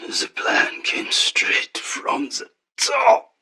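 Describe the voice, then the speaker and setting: An actor playing an exhausted, broken soldier turned inhuman, speaking in a harsh, laboured, brooding rasp for a game audio log. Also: male voice, bright, forceful tone, whispered, full character voice. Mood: weary, despairing. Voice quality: harsh, rasping. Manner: weary, laboured, very slow, brooding.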